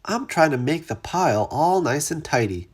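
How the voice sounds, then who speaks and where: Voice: medium pitch, expressive, normal speed, voice pushed up, lightly acted. A storyteller voicing an eager, tidy, good-natured male hippo in a children's storybook, earnest and exclamatory. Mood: huffy.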